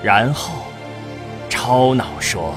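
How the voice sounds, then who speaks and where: A solemn narrator of a cosmic science fiction audio drama, played by a man, speaks with great deliberation and weighty pauses. His pitch moves expressively, and music plays clearly behind him. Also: expressive. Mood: awed, climactic.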